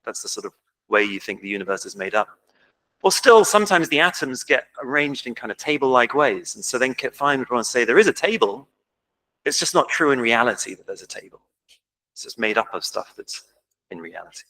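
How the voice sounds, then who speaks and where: A man's voice: mid-range voice, animated, brisk, bright, forceful tone, poor audio. A man with an English accent, giving an informal academic lecture at a conference, self-deprecating and full of ums. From England. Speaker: conference speaker. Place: lecture hall.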